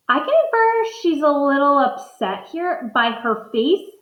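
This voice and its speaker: A woman's voice: sits high, sing-song, takes it slowly, fairly bright tone, roomy sound. A woman teacher doing a read-aloud lesson, thinking aloud as she models inferences from the pictures for her students.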